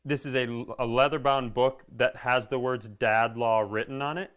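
A man's voice: mid-range voice, fairly even intonation, normal speed, a bit bright. A middle-aged American man chatting casually and comedically as a co-host, riffing and checking what he has heard.

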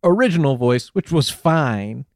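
A man's voice: medium pitch, expressive, unhurried, darkish tone, dry recording, clean studio sound. A man co-hosting a comedy podcast over a video call, joking and rambling with lots of fillers. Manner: jokey, rambling, trails off with fillers. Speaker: podcast co-host.

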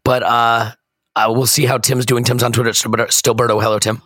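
Male podcast host, American, hoarse croaky voice, dry close mic, self-deprecating chat. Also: medium pitch, flat delivery, moderate pace, fairly bright tone, no echo. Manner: self-deprecating, rambling with restarts. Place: hotel room.

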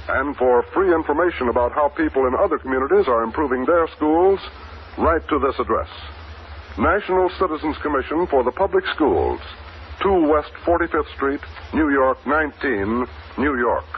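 An American man announcing a scripted 1950s radio public service message in a formal, deliberate, emphatic manner. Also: medium pitch. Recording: dull old radio recording, faint noise.

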